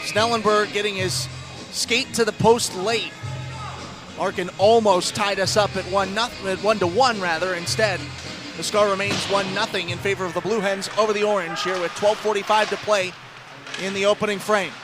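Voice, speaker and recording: A male sports announcer with a high-pitched voice calls an ice hockey game live on the radio. He follows the puck with quick, descriptive calls and raises his voice as the action builds. Music plays clearly behind him. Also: fairly even intonation.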